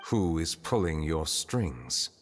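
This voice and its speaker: An actor playing a composed, solemn chief justice in a video game, speaking in a calm, formal, measured way. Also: male voice, a bass voice, full character voice. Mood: calm, probing. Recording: long hall reverb, faint music behind.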